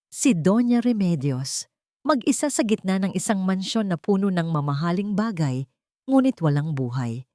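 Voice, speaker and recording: A woman narrates a dramatic romance story with a measured, gently emotive delivery. Her voice is slightly low for a woman. The recording is close and completely dry, with no room sound. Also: no echo.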